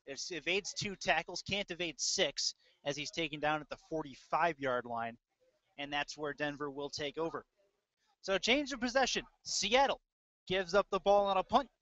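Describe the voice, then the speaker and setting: A man's voice: fairly high pitch, a bit bright, dry recording. A man doing live play-by-play football commentary in clipped fragments with hesitant asides. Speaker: play-by-play announcer. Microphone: close mic, audio-only stream. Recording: dull lo-fi dry audio.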